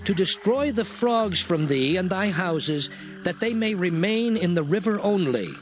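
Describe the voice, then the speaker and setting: An actor playing the prophet Moses, delivering solemn, emphatic proclamations in a dramatized scripture reading. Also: male voice, high-pitched, lively intonation, unhurried. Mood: firm. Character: prophet Moses, solemn adult man messenger.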